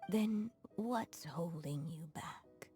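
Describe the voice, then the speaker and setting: A woman voicing a gentle mother's lingering spirit, a writer, in a video game, speaking softly and tenderly. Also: lowish voice, faint music behind. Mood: tender, wistful. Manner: soft, breathy, unhurried, tender delivery. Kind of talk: scripted video game voice acting.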